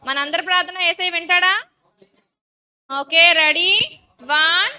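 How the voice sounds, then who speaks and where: A woman's voice: extremely high pitch, fairly even intonation, measured pace, bright, forceful tone. A woman, a Sunday school teacher instructing and leading children firmly in short phrases.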